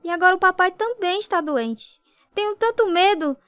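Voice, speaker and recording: Anxious high-voiced Brazilian little girl. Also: expressive, moderate pace, fairly bright tone, dry recording, soft background music. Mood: grieving, tender. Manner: hesitant, fearful. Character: anxious, tender young girl, about ten to thirteen. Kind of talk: radio drama acting.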